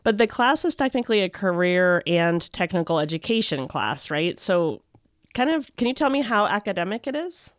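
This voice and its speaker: A woman's voice: medium pitch, big pitch swings, normal speed, dry recording, studio quality. A woman hosting a podcast, reading scripted narration and asking interview questions. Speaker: podcast host.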